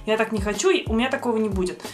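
Young woman, higher voice, blunt and sweary, podcast co-host with soft music behind. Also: rapid-fire. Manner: frank and defensive, swears casually. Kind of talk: casual podcast chat.

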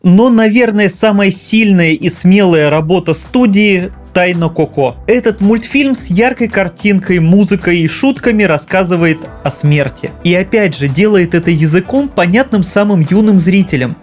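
High-pitched man narrating a video essay. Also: a little mellow. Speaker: film critic hosting a video essay. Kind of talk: scripted narration.

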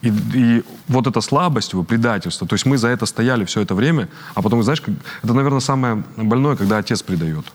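A man in his thirties from Ukraine, speaking as an interview guest in an earnest, halting way with frequent restarts. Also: medium pitch, animated. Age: 30s. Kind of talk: interview conversation.

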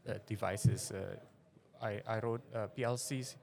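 A man's voice: fairly low pitch, fairly even intonation, unhurried, gravelly, a little mellow. Middle-aged man, hesitant guest. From Indonesia. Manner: hesitant, many fillers, non-native phrasing. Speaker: guest, venture investor. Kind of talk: interview answers. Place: conference forum venue.

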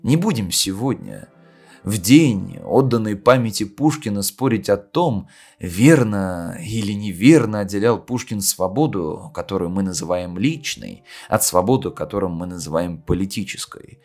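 A man playing a solemn poet who delivers a memorial speech in a measured, declamatory way with deliberate pauses. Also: lowish voice, very animated, a little mellow, soft background music. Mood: solemn, argumentative. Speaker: reader of a poet's speech in an audio play. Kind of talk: literary oration, declaimed reading.

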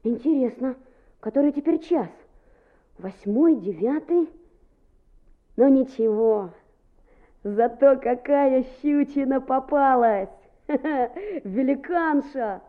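An actress playing a lively, boastful small schoolboy in a radio play, muttering and exclaiming expressively to himself. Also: female voice, high-pitched, slow pace, pauses often, narrow, tinny audio. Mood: excited, boastful. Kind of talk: Soviet-style radio play acting.

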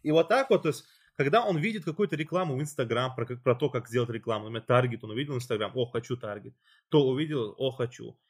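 A man's voice: mid-range voice, lively intonation, fast, husky. A man hosting a casual chat, explaining at length with loose phrasing and fillers. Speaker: podcast host.

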